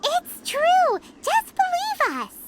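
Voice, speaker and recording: Casting: tiny eager fairy sidekick for a game, squeaky childlike voice, wildly swooping intonation. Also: female voice, wildly swooping pitch, slow, noisy background, exaggerated voice. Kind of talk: video game voice acting. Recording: music behind the voice.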